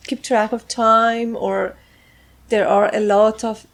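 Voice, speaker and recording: A woman from Iran with a Persian accent, a native Persian speaker, answering casual interview questions in halting English as an artist. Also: on the high side, little pitch movement. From Tehran, Iran. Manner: halting, searching for words in English. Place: on a houseboat, seated at a table.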